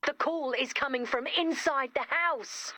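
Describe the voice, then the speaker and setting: A woman's voice: high voice, a little slow, heavy background noise. A woman voicing a chipper camp counselor in a video game, with an upbeat, theatrical storytelling manner. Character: chipper, theatrical female camp counselor telling spooky stories. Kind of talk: video game character acting.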